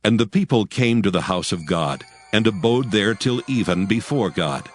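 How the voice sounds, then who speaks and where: A man reading scripture as the narrator of a dramatized audio Bible, solemn and measured. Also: on the low side, expressive. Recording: prominent background music. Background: music.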